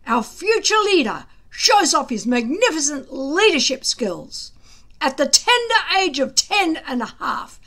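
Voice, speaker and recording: A woman voicing a gruff, bullying Viking drill instructor in a storybook, bellowing sarcastically with drawn-out, emphatic words. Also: high voice, a bit bright, voice pushed up, full character voice.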